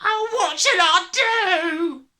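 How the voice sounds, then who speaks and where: A woman voicing a blustering, panicky, vain middle-aged British father who yells his lines in a storybook. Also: very high-pitched, very slow, pitched above the performer's own voice, full character voice. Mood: wailing panic. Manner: yelling, blustering, exclamatory.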